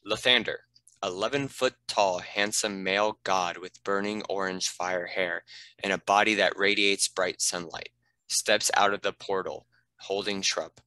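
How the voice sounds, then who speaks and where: A man's voice: low-pitched, steady tone, a little slow, bright, forceful tone, dry recording, poor audio. A man reading scripted narration and stage directions for a fantasy script read.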